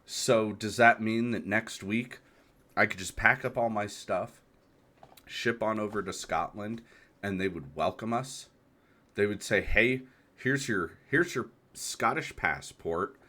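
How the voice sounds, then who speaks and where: A man's voice: lowish voice, takes it slowly, pushed and bright. An American man in his thirties hosting a solo talk, reflective and hesitant, asking rhetorical questions. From United States. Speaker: podcast host. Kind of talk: solo monologue.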